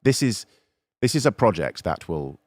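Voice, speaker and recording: A man's voice: on the low side, big pitch swings, normal speed, darkish tone, no echo. A man in an unscripted panel discussion, giving opinions cynically and emphatically, repeating words for stress. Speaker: podcast panelist.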